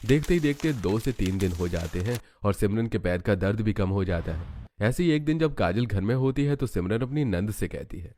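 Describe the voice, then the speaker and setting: A man's voice: on the low side, lively intonation, moderate pace, warm tone, slight background noise. A man reading scripted storybook narration for a cartoon story.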